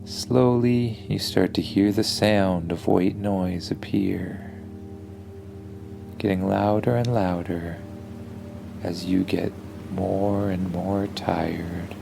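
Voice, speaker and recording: Low, slow man telling a bedtime story. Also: steady tone, creaky, noisy background. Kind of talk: improvised bedtime story for kids.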